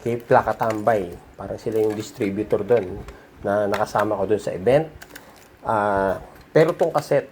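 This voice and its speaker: A man's voice: lowish voice, moderate pace, darkish tone, a little hiss or hum. A man with a Filipino accent hosting a hobby vlog, chatting informally and repeating himself as he shows things. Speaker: host of a vintage audio video channel. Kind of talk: casual show-and-tell vlog chat.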